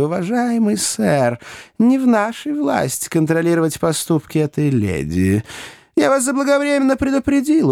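A small, fussy lawyer, acted by a male storyteller, pleads with anxious courtesy in a raised, very mobile pitch. He repeats himself politely, always trying to placate, in a dryly recorded storybook reading. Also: gravelly, a little mellow, no echo, clean studio sound, exaggerated voice.

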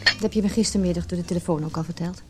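A woman's voice: on the low side, steady tone, rapid-fire, noisy background, natural voice. An actress playing an anxious, lovelorn businesswoman, a married man's mistress, in an emotional Dutch radio play. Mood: hurt, reproachful. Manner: emotional, inner monologue and tense dialogue.

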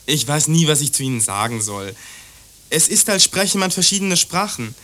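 An actor playing an obtuse, prejudiced young lover in a radio play, condescending and evasive. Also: male voice, on the high side, animated, moderate pace, bright, forceful tone. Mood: placating. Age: young adult. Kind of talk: German radio play dialogue.

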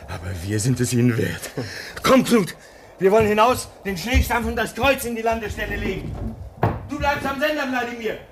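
An actor playing an eager leader of a stranded polar crew, giving orders in a radio play. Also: male voice, high-pitched, very animated, normal speed, slight background noise.

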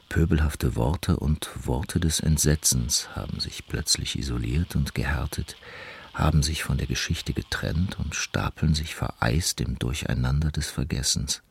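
A man reads a scripted literary text as narrator in a solemn, measured, declamatory way. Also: very deep voice, vocal fry, slight background noise. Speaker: narrator reading quoted texts.

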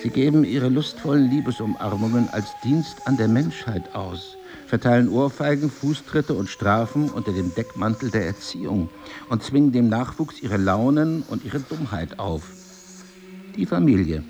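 An actor playing a married crime novelist in an intimate drama, husky and articulate, probing, cynical and wounded, with deliberate literary phrasing. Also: male voice, mid-range voice, mellow, a little hiss or hum, lightly acted. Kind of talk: intimate two-person stage drama dialogue.

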